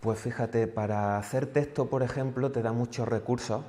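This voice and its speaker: A man's voice: mid-range voice, steady tone, normal speed, warm tone, long reverb tail. A man, a workshop participant, reflecting on the course with some hesitation. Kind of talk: interview.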